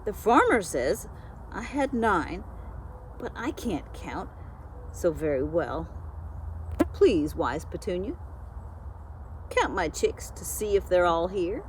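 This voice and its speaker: A woman voicing an anxious, fussing mother hen in a children's storybook, excited and fretful. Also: on the low side, slow, pauses often, slight background noise, rough recording. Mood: flustered. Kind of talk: children's storybook character reading.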